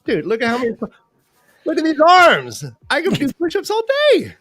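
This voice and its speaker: A man's voice: very high voice, wildly swooping pitch, moderate pace, a bit bright, dry recording. A man co-hosting a casual, joking livestream with playful boasting, often repeating his phrases. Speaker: livestream co-host. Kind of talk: casual joking fantasy football banter.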